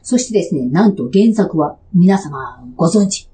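A woman's voice: fairly low pitch, lively intonation, normal speed, warm tone. A woman hosting a radio show in a polite, playful, chatty way from prepared material. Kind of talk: scripted, chatty host monologue.

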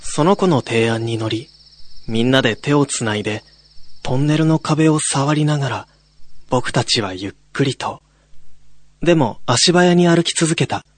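A man's voice: medium pitch, animated, unhurried, dry recording. A man playing a young male college student who tells a ghost story in a radio drama. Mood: somber, reflective. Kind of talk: radio drama narration with acted dialogue.

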